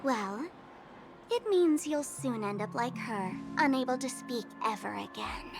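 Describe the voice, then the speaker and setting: A mischievous masked trickster girl from a video game. The voice is fairly high and drips with taunting, teasing menace. Music plays underneath. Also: unhurried, gravelly. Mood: menacing, gleeful.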